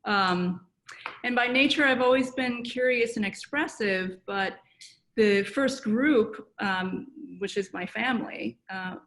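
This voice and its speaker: A woman psychotherapist from South Korea, answering interview questions thoughtfully over a video call, with many pauses and fillers. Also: a little high, fairly bright tone. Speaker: guest psychotherapist. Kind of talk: reflective personal interview answers.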